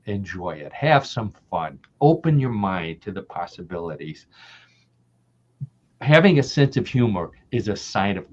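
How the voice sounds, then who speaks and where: An older man from the American Midwest, chatting as a podcast guest, laughing often and repeating himself as he talks. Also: lowish voice. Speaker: guest author. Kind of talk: casual podcast interview. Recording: dull lo-fi audio.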